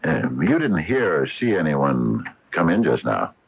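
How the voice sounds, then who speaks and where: Very deep, husky hardware man, hesitant. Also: big pitch swings, full character voice. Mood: uneasy, confiding. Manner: hesitant, halting, full of uhs. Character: uneasy small-town hardware store owner, once a young scientist. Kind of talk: 1980 radio drama acting. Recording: muffled vintage AM radio broadcast.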